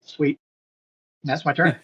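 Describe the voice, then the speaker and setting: A tabletop game player chatting casually and announcing moves in brief, unhurried turns. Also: medium pitch, steady tone, fairly bright tone, husky, highs cut off.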